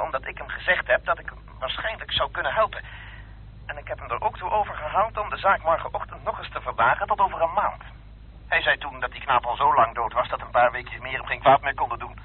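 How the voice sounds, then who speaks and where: An actor playing an eager politician husband in a radio play, husky-voiced, brisk, teasing and coaxing. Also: male voice, medium pitch. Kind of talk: Dutch radio drama acting. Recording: tinny, band-limited old radio recording.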